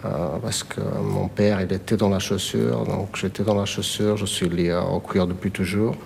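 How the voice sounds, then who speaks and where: A man from Portugal who works as a leather cutter, speaking in a documentary interview. He speaks in a reflective, measured way. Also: low-pitched, fairly even intonation, creaky, darkish tone. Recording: noisy background.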